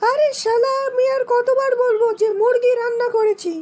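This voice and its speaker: A performer voicing a shrill, nagging wife in a cartoon joke skit, scolding and insistent. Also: steady tone, moderate pace, a little mellow, slight background noise. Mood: irritated.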